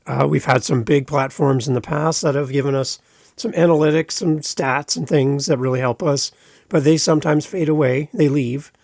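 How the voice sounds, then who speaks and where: A man's voice: on the high side, fairly even intonation, normal speed, creaky, a little mellow, no room sound, clean studio sound. A man hosting a podcast, upbeat and promotional as he introduces a guest and a tool. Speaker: podcast host.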